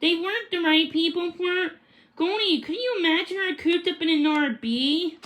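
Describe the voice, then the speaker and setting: A female reader voicing an outspoken young girl from a storybook, expressive and emphatic. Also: very high-pitched, normal speed, voice pushed up.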